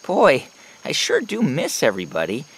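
An actress playing an eager, earnest beaver with a creaky, expressive voice in a children's audio folktale. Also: female voice, a bass voice, normal speed, full character voice. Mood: puzzled, wistful. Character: eager, busy, earnest beaver, sociable and sincere.

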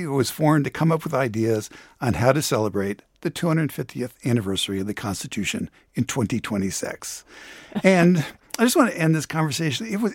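A man's voice: medium pitch, vocal fry, breathy edge, no echo, clean studio sound. A man giving a conversational radio report as an arts reporter.

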